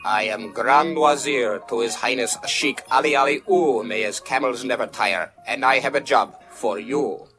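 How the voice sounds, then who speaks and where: Male actor as courtly android, croaking fry, flowery formal speech, sci-fi radio play, faint music behind. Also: medium pitch, moderate pace, hardly any pauses, lightly acted. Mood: courteous, formal. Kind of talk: science fiction radio play acting. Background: music bed.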